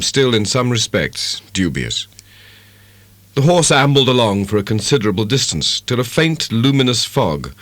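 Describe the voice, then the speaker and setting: A man giving a measured, dramatic narration of a classic novel. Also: on the low side, a bit bright, no echo. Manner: measured, literary storytelling. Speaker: audiobook narrator.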